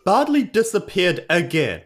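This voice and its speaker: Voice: medium pitch, big pitch swings, measured pace. A podcast player, bantering casually in a tabletop game with comic exasperation.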